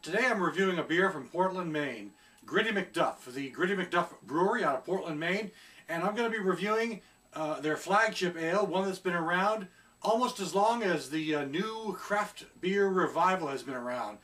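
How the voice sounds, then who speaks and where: A middle-aged man reviewing a beer at home in a casual, chatty way, often pausing on fillers as he searches for words. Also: high-pitched, animated, a bit bright, far from the mic. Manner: chatty, hesitant with many fillers. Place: small room at home, seated indoors.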